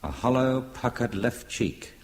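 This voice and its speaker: An actor playing a wry, literate British spy given to quick understatement in an audio drama thriller. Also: male voice, mid-range voice, animated, a little mellow, slightly rough. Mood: tense. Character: dry-witted British male spy on honeymoon.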